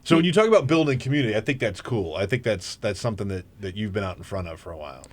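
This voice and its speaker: A man hosting a talk radio show in a casual, energetic way, joking and laughing often as he interviews a guest. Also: lowish voice, rapid-fire. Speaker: fill-in radio show host.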